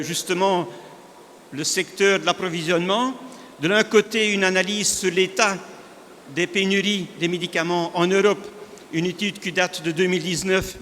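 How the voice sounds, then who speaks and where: A man from northern Belgium with a Flemish accent, a native Dutch speaker, giving a measured conference talk with frequent restarts. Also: high-pitched, a bit bright, a little hiss or hum. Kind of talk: conference presentation. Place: large conference hall. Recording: reverberant hall, faint ambience.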